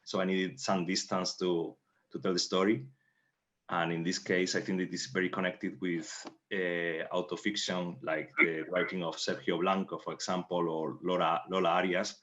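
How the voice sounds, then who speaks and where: A man's voice: sits low, level tone, normal speed, fairly bright tone. A man with a Spanish accent, a native Spanish speaker, giving reflective interview answers and searching for words as he goes. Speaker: playwright on a panel. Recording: dull, lo-fi audio.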